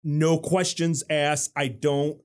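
A man in his forties chatting as a studio guest, loud and animated, sitting back from the microphone. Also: on the high side, slow. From Metro Detroit area. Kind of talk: casual chat. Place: radio studio. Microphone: sitting far back from the studio mic.

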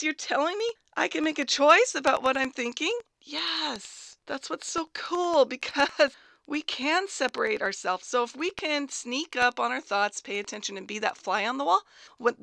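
A woman life coach giving a casual motivational talk, slightly hoarse from a cold, upbeat and chatty, laughing often as she speaks. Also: sits high, animated, normal speed, bright, forceful tone, no room sound. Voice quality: raspy.

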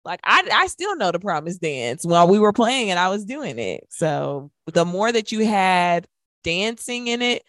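An American woman, roughly in her thirties, co-hosting a radio show with casual talk about music. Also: medium pitch, big pitch swings, measured pace, a bit bright, no echo.

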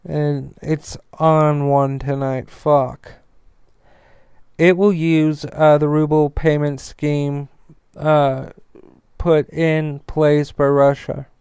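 A man hosting a podcast, reading news and sponsor messages informally, with a stutter and a halting, stumbling delivery. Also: fairly high pitch, little pitch movement, a little mellow, smooth, no room sound, studio quality. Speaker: podcast host.

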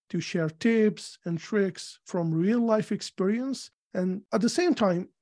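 A man from Algeria with an Algerian accent, answering interview questions in a measured, thoughtful way with frequent fillers. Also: high-pitched, mellow. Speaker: guest. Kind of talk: casual interview.

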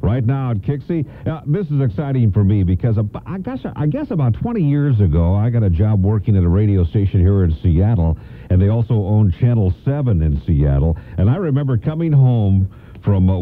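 Animated male radio host, narrow tinny broadcast sound. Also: on the low side, brisk. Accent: American. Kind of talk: live radio chat and announcing. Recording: band-limited phone-like old radio broadcast.

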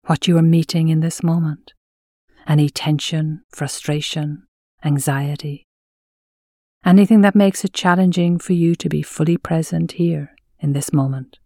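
A woman gently narrating a guided mindfulness meditation, soothing and measured, her soft voice trailing into breathiness with long pauses between phrases. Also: low voice, fairly even intonation, no echo, clean studio sound.

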